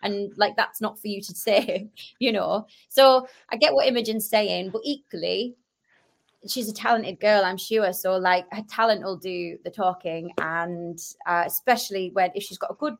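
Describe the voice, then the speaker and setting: A woman's voice: mid-range voice, fairly even intonation, normal speed, creaky. A woman podcast guest, an actress and comedian, chatting casually and telling anecdotes, often acting out the dialogue.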